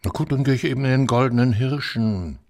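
An actor playing a pompous, irascible opera star in his eighties, theatrical and gravelly, in a German radio play. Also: medium pitch, brisk, a little mellow, natural voice. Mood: muttering, sardonic. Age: 80s. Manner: theatrical, blustering, insulting.

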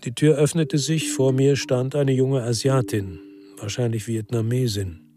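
A man's voice: medium pitch, fairly even intonation, measured pace, over music, close to an everyday voice. An actor playing a world-weary ex-policeman who narrates a radio crime drama in a brooding, reflective way.

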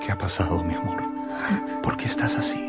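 An actor playing an earnest, solemn musketeer and devoted lover in a radio drama. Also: male voice, low-pitched, a little fast, loud music behind the voice, lightly acted. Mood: anguished, tender.

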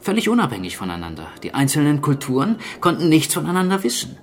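A man playing a sober archaeologist in a radio drama, speaking in a measured, explanatory way. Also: fairly high pitch, huge pitch swings, a little hiss or hum. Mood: grave, explanatory. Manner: measured, matter-of-fact, explanatory. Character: sober male archaeologist explaining a zombie plague. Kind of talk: naturalistic German radio drama acting.

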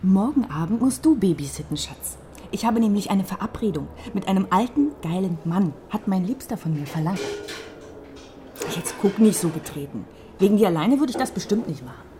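A woman's voice: medium pitch, big pitch swings, normal speed, warm tone, a little hiss or hum, lightly acted. An actress playing a self-possessed, teasing woman in a radio crime drama, speaking in a casual, coaxing way.

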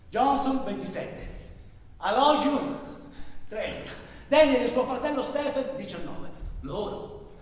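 An actor playing an intense traveller who recounts stranded migrants' hardships in a halting, repetitive theatrical monologue. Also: male voice, high-pitched, a little hiss or hum, exaggerated voice. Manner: halting, repetitive delivery, swinging between shouts and murmurs. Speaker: stage actor. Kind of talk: dramatic theatrical monologue in Italian. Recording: very echoey hall, distant microphone.

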